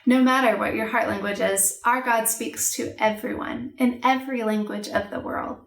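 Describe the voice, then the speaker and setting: A woman giving a scripted children's missions lesson in a gentle, encouraging way. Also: a little high, steady tone, normal speed, vocal fry. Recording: echoey room.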